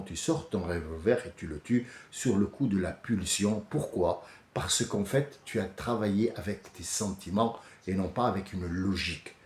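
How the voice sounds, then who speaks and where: A French man hosting a casual monologue, animated and often restarting, rising to heated outbursts. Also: deep voice. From France. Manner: many restarts and hesitations. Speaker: host. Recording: echoey room.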